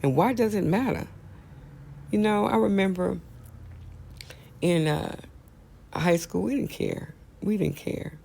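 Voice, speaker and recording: A man's voice: high voice, slow, creaky, long pauses, darkish tone. A man hosting a casual opinion monologue, talking straight to listeners and repeating phrases for emphasis. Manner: conversational, addresses listeners directly. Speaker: host.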